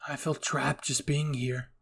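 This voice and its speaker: Man as a hushed, lonely teenage anime boy. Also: mid-range voice, level tone, unhurried, creaky, breathy edge, heavily acted. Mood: wistful. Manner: hushed, hesitant, soft-spoken. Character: gentle, lonely teenage boy, sleepy and tender. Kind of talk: anime fan-fiction ASMR roleplay.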